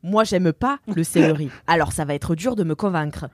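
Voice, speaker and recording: A woman's voice: low voice, animated, normal speed, dry recording. A woman hosting a casual podcast, chatty and laughing often as she reads listener messages aloud. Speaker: podcast host.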